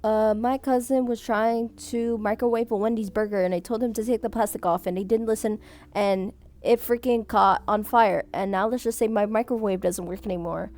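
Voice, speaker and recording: A woman's voice: mid-range voice, fairly even intonation, normal speed, a little mellow. A young woman chatting casually while reading viewer submissions, Vietnamese as her first language, laughing often and trailing off mid-sentence. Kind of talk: casual chat.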